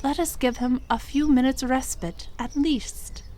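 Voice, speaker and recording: Woman as a slow, gentle, devout young queen. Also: high voice, steady tone. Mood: humble, firm. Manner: measured, formal, pleading. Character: gentle, devout young medieval queen, humble yet steadfast. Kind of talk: audio drama acting. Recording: music under the voice.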